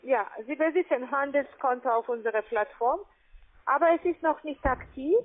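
A woman who is not a native speaker, giving a formal, halting telephone sales pitch. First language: not German. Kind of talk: sales pitch on a cold call. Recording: tinny, narrow phone line.

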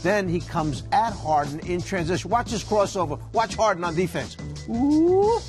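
Animated man breaking down replays. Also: high voice, moderate pace, music in the background. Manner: animated, teasing, exclaims at replays. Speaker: studio analyst. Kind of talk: play-by-play breakdown of highlights. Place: TV studio.

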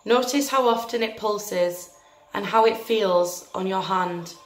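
Woman guiding a meditation, roomy echo. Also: medium pitch. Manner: soothing, gentle, deliberate with long pauses. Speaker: meditation guide. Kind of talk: guided meditation, scripted narration. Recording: roomy echo with faint music behind.

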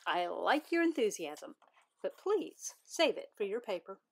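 Woman as a slow, kindly bear schoolteacher. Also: mid-range voice, sing-song, gravelly, darkish tone, full character voice. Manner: measured, announcing to a class. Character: composed, kindly but firm female bear schoolteacher.

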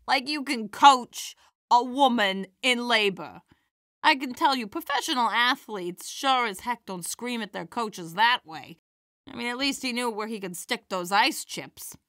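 A woman plays a feisty old granny who does stand-up in an audio drama. Her voice is bright and fairly high, with wry, theatrical punchlines. The sound is very dry. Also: no room sound. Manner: wry, theatrical comic delivery. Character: feisty elderly granny stand-up comedienne, warm-hearted and wisecracking.

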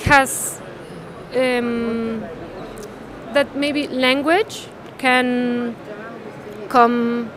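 A woman speaks about her art in a fairly high voice, pausing and searching for words. She often ends phrases with a soft "no?". A busy art fair hall hums with crowd chatter behind her. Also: steady tone. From Mexico. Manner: thoughtful, halting, ends phrases with a questioning "no?". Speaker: artist. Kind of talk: casual conversation about her artwork.

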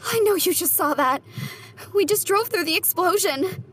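A woman's voice: very high-pitched, expressive, moderate pace, fairly bright tone, a little hiss or hum. An actress playing a nervous young woman in a horror audio drama, a loyal friend who talks in questions. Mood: shaken.

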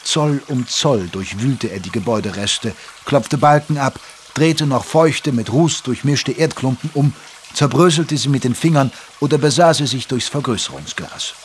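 A man plays a composed gentleman doctor narrating a detective audio drama. His mid-pitched voice is measured and literary, with a dryly amused edge. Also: a little hiss or hum, close to an everyday voice. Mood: measured narration. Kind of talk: scripted first-person narration in German audio drama.

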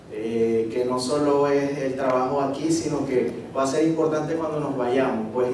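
A middle-aged man with a Venezuelan accent gives an informal presentation in a halting way, often adding little tags to check he is followed. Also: mid-range voice, warm tone. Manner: halting, with frequent tag checks. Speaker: course participant presenting, marine geology researcher. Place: medium-sized indoor seminar room. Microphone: handheld microphone through PA, picked up by far camera. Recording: echoey room, distant camera microphone, noisy.

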